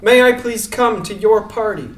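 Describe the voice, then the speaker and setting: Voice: medium pitch, animated, moderate pace, natural voice. An actor playing a small, naive baby rabbit who is lonely, hopeful and politely earnest. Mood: eager, hopeful. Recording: echoey room.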